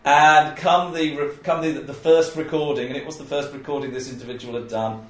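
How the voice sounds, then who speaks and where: A man with a loud voice giving an informal training talk on interviewing, chatty and often laughing as he acts out example questions. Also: on the high side, steady tone, fairly quick. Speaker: oral history trainer. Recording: echoey room.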